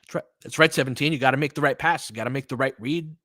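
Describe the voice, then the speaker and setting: A man gives casual basketball play-by-play with an analyst's eye. His pitch sits in an ordinary male range, and he moves from short, clipped calls to conversational tactical explanation. The sound is dry, with very little room in it. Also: rapid-fire, fairly bright tone, no room sound. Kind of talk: casual live game commentary with tactical asides.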